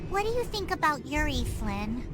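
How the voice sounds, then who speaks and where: A woman's voice: sits high, big pitch swings, normal speed, over music. A woman voicing a cheeky little girl in a video game, childlike and blunt. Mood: wistful. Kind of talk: video game dub acting.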